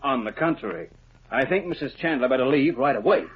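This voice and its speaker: An actor playing a furtive, commanding attorney with a bossy, urgent manner in a 1950s radio drama. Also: male voice, mid-range voice, lively intonation, brisk, breathy edge. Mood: smooth, guarded. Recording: muffled, noisy vintage radio recording.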